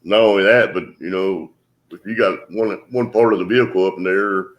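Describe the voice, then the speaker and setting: A man with a very low, deep voice talks casually as the host of a livestream. He gives calm, steady advice at an easy pace. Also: brisk.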